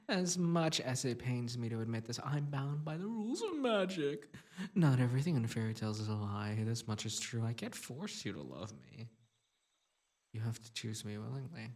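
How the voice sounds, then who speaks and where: A man voicing an unhinged, possessive male bunny spirit in an audio roleplay, coaxing softly and breaking into giggles. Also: mid-range voice, big pitch swings, normal speed. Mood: pleading. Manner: soft coaxing delivery broken by giggles and sudden outbursts.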